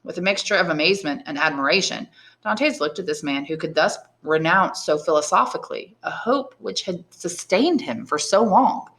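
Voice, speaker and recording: Expressive lower-voiced woman narrating a novel. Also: moderate pace. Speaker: English teacher reading aloud. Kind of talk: expressive audiobook narration with brief explanations.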